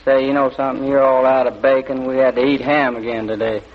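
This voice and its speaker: An actor playing a sweet, skinny, hapless little bandleader with a folksy, artless manner, in 1940s radio comedy. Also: male voice, medium pitch, level tone, fast, a loud crowd, heavy background noise, close to an everyday voice. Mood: cheerful, innocent. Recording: muffled old radio recording.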